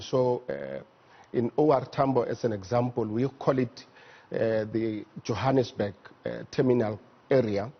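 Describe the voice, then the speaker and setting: Husky South African man, halting explainer. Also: medium pitch, highs cut off. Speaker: air traffic management executive, interview guest. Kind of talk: explanatory studio interview. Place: TV news studio.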